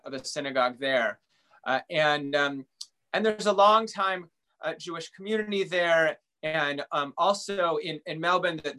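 A man's voice: fairly high pitch, sharp tone. A middle-aged man, a rabbi, chatting casually about interfaith ties, full of fillers and restarts. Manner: halting, many fillers and restarts.

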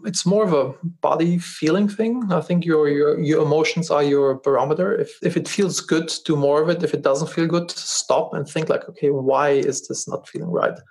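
A man with a German accent talks in an earnest, conversational way, circling back over his words as he finds them. His voice sits a touch high for a man and carries a noticeable gravelly fry. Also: fairly even intonation, moderate pace, hardly any pauses, a little mellow. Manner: earnest, repeats words as he restarts. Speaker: podcast guest, business founder. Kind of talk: casual interview talk.

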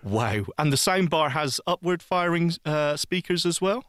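A man's voice: on the high side, lively intonation, a little slow, fairly bright tone, no room sound, clean studio sound. A man co-hosting a podcast, asking curious questions in a casual, easy-laughing way. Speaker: podcast co-host. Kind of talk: casual chat.

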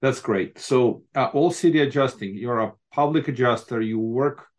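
A man with a medium voice hosts a podcast interview. He is from Moldova and talks in a halting way, repeating words as he frames his questions. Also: medium pitch. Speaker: podcast host. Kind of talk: interview hosting.

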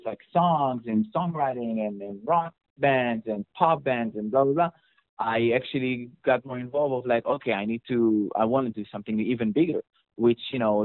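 A man's voice: medium pitch, dry recording. A young man with an Israeli accent, chatting casually as an interview guest about his work as a mixing engineer, rambling with many fillers and restarts. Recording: dry, phone-like audio.

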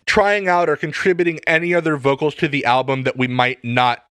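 A man's voice: fairly high pitch, steady tone, brisk, a bit bright, smooth, no room sound, clean studio sound. A man interviewing conversationally, asking long, chatty questions.

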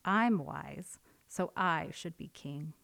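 A woman's voice: low-pitched, slow, creaky, fairly bright tone, heavily acted. A woman voicing a wise, self-important owl in a storybook tale, with a hooting, lofty manner.

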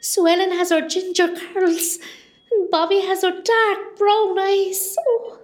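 A woman's voice: very high voice, flat delivery, slow pace. A woman playing an elderly, wistful Irish mother from Cork in an amateur hospital radio play. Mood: grieving, wistful. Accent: Irish, County Cork. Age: older.

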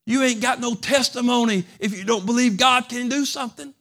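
A man preaching a sermon as pastor, folksy and repetitive, building from soft talk to loud fervent preaching. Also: extremely high pitch, a little fast. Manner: folksy, repeats phrases.

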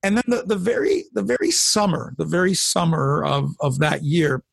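Earnest raspy man telling his story. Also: fairly high pitch, expressive, moderate pace, dry recording. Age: 40s or older. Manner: earnest, storytelling, frequent restarts and repeated words. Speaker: pastor and guest. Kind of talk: personal testimony in a conversational interview.

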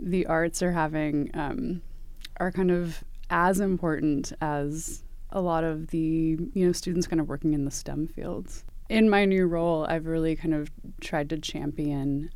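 A woman's voice: sits low, warm tone, dry recording. A woman from East Tennessee, an artist and academic advisor, answering interview questions thoughtfully with frequent ums and restarts. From East Tennessee, USA.

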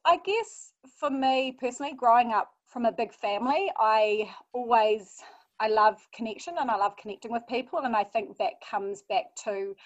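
A woman's voice: a little high, normal speed, no room sound. Chatty New Zealand woman, lo-fi. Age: 30s. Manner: warm, chatty storytelling with fillers. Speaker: guest, mindfulness coach. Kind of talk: podcast interview. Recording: dull, lo-fi sound.

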